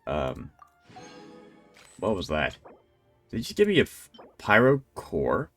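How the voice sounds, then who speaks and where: A man's voice: deep voice, wildly swooping pitch, slow pace, faint music behind. A man giving casual live gameplay commentary as a streamer, rambling and thinking aloud. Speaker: game streamer.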